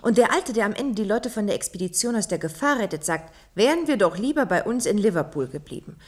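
An actress playing a skeptical, comfort-loving young woman of a future society, expressive and playfully doubting, in a German science-fiction radio play. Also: mid-range voice, fast, natural voice. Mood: puzzled, doubtful.

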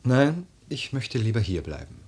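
A man's voice: medium pitch, big pitch swings, normal speed, darkish tone, husky. An actor playing a mournful, stubborn young widowed nobleman, earnest and formal, in a 1940s radio verse drama. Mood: reluctant. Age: young adult. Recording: 1949 radio play recording.

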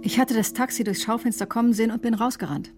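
A woman's voice: a little high, steady tone, fast talker, over loud music, natural voice. Earnest, defensive woman doctor, played by an actress. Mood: tense recounting. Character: earnest, defensive woman doctor recounting her own investigation. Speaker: doctor, first-person narrator. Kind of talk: radio drama narration and dialogue.

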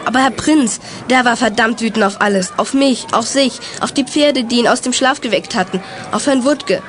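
A woman's voice: fairly high pitch, moderate pace, lightly acted. A woman playing a tough, impulsive teenage boy in a children's radio play, earnestly telling his story in short sentences. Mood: aggrieved, earnest.

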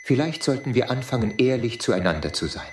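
A man playing a reasonable, conciliatory space traveler in an audio drama, speaking in a measured, earnest way. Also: mid-range voice, lively intonation, a little hiss or hum, close to an everyday voice. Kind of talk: scripted audio drama acting. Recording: echoey room.

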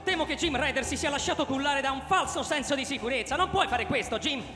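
An actor playing an excitable, slick TV game show announcer of a deadly manhunt in a radio drama, with sensational showman patter. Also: very high voice, quick pace, roomy sound, big-room sound, music in the background. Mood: excited alarm.

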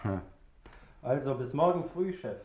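A man's voice: medium pitch, expressive, a little slow, warm tone, natural voice. A man playing an eager, deferential junior police detective in a 1960s German radio drama, talking informally. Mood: eager, easygoing. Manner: informal, respectful to his boss.

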